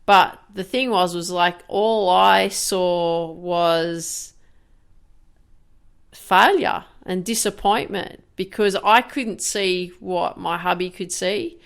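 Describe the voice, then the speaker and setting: A woman in her fifties hosting a podcast, speaking candidly and haltingly in a reflective personal monologue, with many restarts and fillers. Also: fairly low pitch, steady tone, creaky, clean tone. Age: 50s. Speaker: podcast host.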